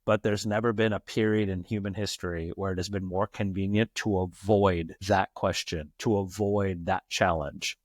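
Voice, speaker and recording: A man's voice: deep voice, steady tone, normal speed, few pauses, no room sound, studio quality. An American man speaking as a podcast guest, telling stories in an emphatic, philosophical way and building his points in long runs. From United States. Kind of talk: reflective conversational storytelling.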